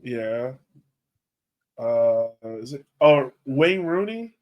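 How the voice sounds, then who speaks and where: A man's voice: mid-range voice, animated, very slow, highs cut off. Casual man hosting a sports podcast. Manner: informal, thinks out loud. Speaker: podcast host.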